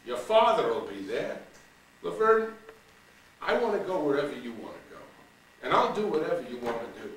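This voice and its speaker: A man's voice: on the high side, sing-song, normal speed, pitched above the performer's own voice. A man voicing Shirley, an eager, dreamy young woman, enthusiastic, recorded from a distance in an echoey room. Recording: roomy room, distant mic, faint ambience.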